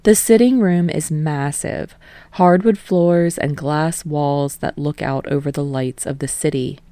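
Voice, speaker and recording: A woman reading fan fiction aloud in a calm, steady narration. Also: low voice, measured pace, dry recording. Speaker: podfic reader. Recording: dry close home recording.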